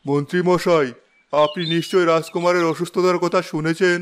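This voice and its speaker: A man's voice: a little high, steady tone, brisk, a little hiss or hum, close to an everyday voice. A man playing a formal, stately king in a cartoon fairy tale. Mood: commanding. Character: anxious, commanding, remorseful fairy tale king. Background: background music.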